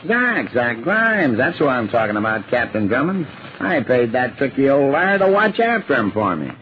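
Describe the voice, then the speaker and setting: A man's voice: mid-range voice, very animated, normal speed, natural voice. An actor playing a blunt, puzzled small-town Western man with an American accent in an old-time radio drama. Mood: rueful, bitter. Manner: folksy, blunt. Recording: muffled, noisy old radio recording.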